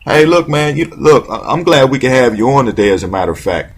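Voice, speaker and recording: A man hosts a talk show, his voice husky and a little rough. He rambles in a loose, slangy way, circling back and restarting his questions as he goes. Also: medium pitch, expressive, fast talker. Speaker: radio show host. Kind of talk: casual talk show interview.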